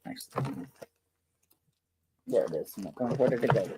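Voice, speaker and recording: A middle-aged man with a soft voice, chatting casually while he unpacks and crafts. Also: medium pitch, lively intonation, slow pace, background noise. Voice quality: soft-spoken. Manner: rambling, chatty, many fillers and restarts. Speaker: vlogger unboxing and crafting.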